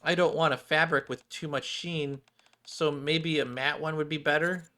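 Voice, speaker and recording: A man voicing a surly, sharp-tongued schoolgirl from a game, curt and dismissive. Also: a little high, fairly even intonation, moderate pace, pitched above the performer's own voice. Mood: guarded, weary.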